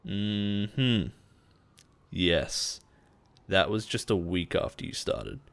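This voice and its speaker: An actor playing a possessive male boss in an intimate roleplay audio drama, coaxing and quietly menacing. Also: lowish voice, extremely slow, vocal fry, smooth, full character voice. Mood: controlling, quietly menacing. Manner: soft-spoken coaxing, chuckles and sighs, quietly menacing.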